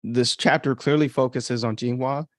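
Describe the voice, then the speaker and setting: A man's voice: mid-range voice, fairly even intonation, normal speed, no echo, clean studio sound. A man hosting a casual livestream commentary on his own work as an author, thinking aloud in an informal, self-critical way. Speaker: author and podcast host.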